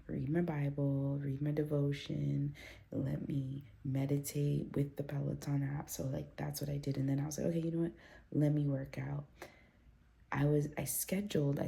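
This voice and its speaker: A woman vlogging at home into her phone with a very low, gravelly voice and a mellow, dark tone. She rambles casually with plenty of fillers and restarts, and she sounds a little congested from a recent cold. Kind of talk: casual chatty vlog. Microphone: phone camera.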